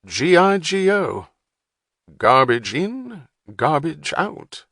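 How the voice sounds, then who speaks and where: A man voicing a polite, wry male historian with a plummy English accent and a measured, professorial manner. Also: fairly high pitch, big pitch swings, fairly bright tone, no echo, studio quality, pitched above the performer's own voice, full character voice. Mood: genteel. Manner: measured, genteel, slightly professorial.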